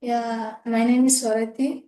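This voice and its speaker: A young woman from Ethiopia, a student guest, speaking hesitantly with many pauses. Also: on the high side, flat delivery, dark tone. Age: young adult. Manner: hesitant, halting, many "uh" fillers. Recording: echoey room.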